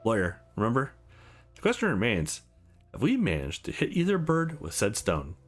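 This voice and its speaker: A man voicing a shrewd, sentimental old lawyer in a visual novel, speaking formally and with measured care. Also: medium pitch, huge pitch swings, soft background music, voice pushed down. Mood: measured, defensive.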